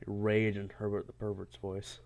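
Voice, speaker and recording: A man casually commentating a game, congested, laughing often and yelling when he fails. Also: lowish voice, completely flat delivery, a little slow, vocal fry. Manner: laughs often, yells at failures. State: congested, stuffy-sounding. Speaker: gameplay commentator. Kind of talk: casual let's-play commentary.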